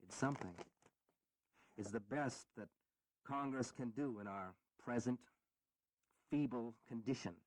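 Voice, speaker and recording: An actor playing a pragmatic young congressman who explains in a measured, reasoned way in a historical audio drama. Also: medium pitch, slight background noise, natural voice, low-quality recording. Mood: resigned, reasoning.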